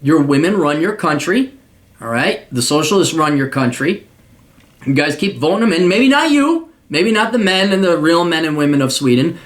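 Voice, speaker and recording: A man's voice: a little high, big pitch swings, moderate pace, fairly bright tone. A man hosting a paid advice show, lecturing his listener in a blunt, profane, mocking way. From United States. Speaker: podcast host giving paid advice.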